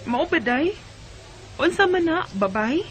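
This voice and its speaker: A woman's voice: high voice, very animated, takes it slowly, exaggerated voice. An actress playing a young female student who tells her love story in a Filipino radio drama, with a Bisaya accent. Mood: playful, curious. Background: music.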